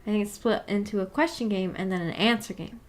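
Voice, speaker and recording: A woman's voice: medium pitch, fast talker. A woman hosting a book club livestream, chatting casually and reading out viewer comments in a giggly, rambling, self-deprecating way. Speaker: livestream host of a visual novel book club.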